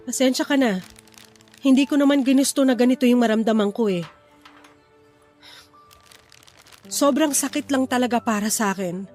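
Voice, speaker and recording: A woman's voice: a little high, normal speed, long pauses, warm tone, lightly acted. A woman acting a loving Filipino wife and mother in a radio drama. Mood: grieving, tired. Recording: music bed under the voice.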